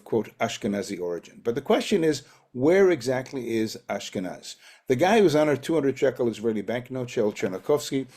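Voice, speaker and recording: A man's voice: medium pitch, dark tone. A man with a Canadian accent giving an online lecture over a video call, chatty with self-deprecating asides.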